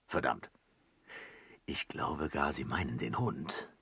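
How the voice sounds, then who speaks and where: An actor playing a brash, boastful manservant in a comic period radio play, flirting with theatrical swagger. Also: male voice, extremely low pitch, slow pace, creaky, bright, forceful tone, husky, no echo, full character voice. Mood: gruff, indignant. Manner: swaggering, theatrical, with evasive hesitations. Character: brash, boastful male servant, flirting evasively.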